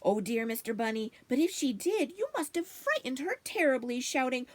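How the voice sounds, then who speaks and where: A woman voicing a gentle, fretful female talking rabbit in a storybook, friendly and reassuring. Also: high voice, sing-song, normal speed, no room sound. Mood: concerned.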